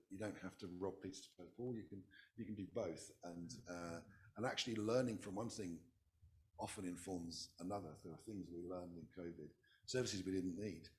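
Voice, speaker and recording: A man on a conference panel, recovering from a virus, speaking in a halting way and often repeating words. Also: low-pitched, a little mellow, a little hiss or hum. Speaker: public health specialist on a panel.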